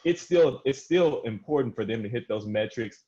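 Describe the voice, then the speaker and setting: A man's voice: mid-range voice, expressive, normal speed, dark tone, muffled recording. A man hosting a music podcast round table, speaking in a slangy, conversational way with casual swearing. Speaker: podcast host.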